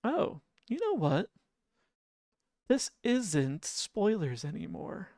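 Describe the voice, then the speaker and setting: A game streamer with a sleepy-sounding voice, chatting casually and softly with viewers, often chuckling and humming idle filler tunes. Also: mid-range voice, wildly swooping pitch, slow pace, dry recording, studio quality. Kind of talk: casual livestream chat.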